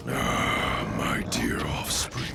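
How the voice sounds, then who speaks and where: Evil alien overlord from a game, slow creaky growl, pompous and menacing, loud music behind. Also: male voice. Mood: gloating menace. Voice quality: very deep, gravelly, breathy growl. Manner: slow, pompous, sinister pronouncements. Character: menacing ancient male alien overlord, grandiose and scheming. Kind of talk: video game villain acting. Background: loud game soundtrack.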